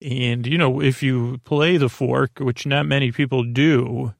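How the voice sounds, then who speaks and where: A man with a gravelly voice hosting a bedtime podcast, improvising a meandering, halting monologue that circles back on itself and trails off. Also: mid-range voice, steady tone, no echo, studio quality. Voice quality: gravelly, creaky. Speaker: podcast host.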